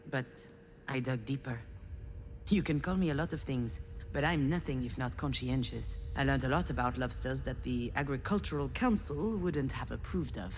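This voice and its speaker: An actress playing a failed screenwriter, a cynical, melancholic loner, in a measured, confiding, wry game monologue. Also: female voice, rumbling low, slight background noise. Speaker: narrator protagonist of a visual novel. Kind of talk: introspective scripted monologue.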